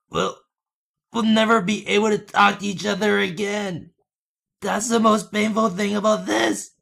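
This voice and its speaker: A man plays a young anime wolf, anguished and devoted to his friend. The voice is rather high and bright, with heavy gravel in it. The delivery is halting and emotional, in fan dub style. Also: full character voice.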